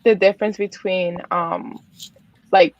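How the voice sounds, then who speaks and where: A woman's voice: lowish voice, steady tone, measured pace, no room sound. Woman panelist, hesitant delivery full of fillers; slightly muffled recording. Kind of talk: casual panel chat.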